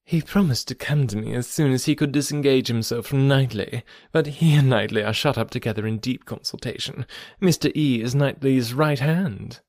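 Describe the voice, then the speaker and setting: A man's voice: mid-range voice, moderate pace, a little mellow, dry recording, studio quality, natural voice. Man doing a self-important, affected married lady. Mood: smug, vivacious. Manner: affected, showy, emphatic, patronising. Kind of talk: audiobook character voice, classic novel.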